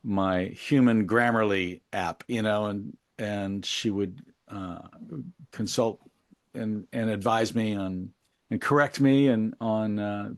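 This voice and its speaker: A middle-aged man talking reflectively as an interview guest, in a halting, musing, colloquial way about his creative work. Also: lowish voice, steady tone, no room sound, studio quality. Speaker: interview guest, musician and memoir author.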